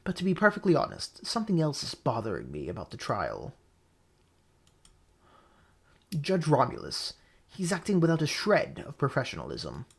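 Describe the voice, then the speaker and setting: A woman voicing an arrogant male falcon defence lawyer in a video game, lecturing and deliberate in a cutting, logical way. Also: deep voice, wildly swooping pitch, breathy edge, heavily acted. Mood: pensive.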